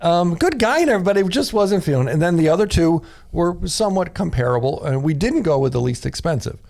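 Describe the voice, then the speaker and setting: A man's voice: fairly high pitch, lively intonation, fast talker, gravelly, a little mellow. A man with an American accent co-hosting a radio show, chatting casually in a studio. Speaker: radio co-host. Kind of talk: casual bantering chat.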